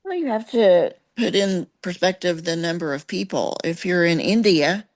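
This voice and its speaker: Soft-spoken American woman. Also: lowish voice, lively intonation, moderate pace. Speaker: podcast co-host. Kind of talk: casual chat.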